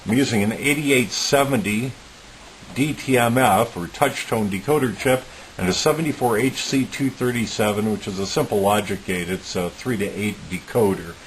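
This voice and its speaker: A man's voice: on the low side, normal speed. A man giving an informal, methodical technical demonstration as a hobbyist, in a plain-spoken, matter-of-fact way. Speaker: electronics hobbyist presenting a homemade project. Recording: clearly noisy recording with hiss.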